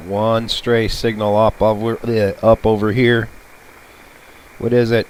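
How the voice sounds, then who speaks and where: A man with a fairly low voice talks casually as a radio hobbyist at his set. He rambles and mutters playful asides to himself. The recording is plainly noisy, with radio static in the room. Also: flat delivery, unhurried, darkish tone. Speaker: radio hobbyist showing shortwave listening. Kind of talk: casual rambling chat while tuning. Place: apartment room. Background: shortwave radio playing.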